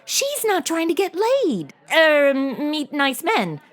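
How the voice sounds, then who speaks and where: A woman's voice: high-pitched, very animated, takes it slowly, exaggerated voice. A woman playing a sarcastic, outspoken young modern granddaughter with slangy delivery in a comedy audio drama. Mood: snarky. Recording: music under the voice.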